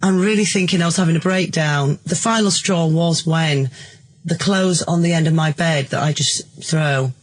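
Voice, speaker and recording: A woman's voice: low voice, moderate pace. A British woman speaks as an interview guest, recounting her own experience. She is earnest and emphatic, stressing details as she goes. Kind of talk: recounting a personal story in a radio interview.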